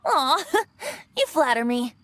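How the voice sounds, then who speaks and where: A woman's voice: high-pitched, sing-song, slow pace, a bit bright, a little hiss or hum, heavily acted. A woman voicing a playful young bard boy who is secretly a god, coy and theatrical, in a video game. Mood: modest, coy. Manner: coy, theatrical, airy storytelling. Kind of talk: animated video game voice acting.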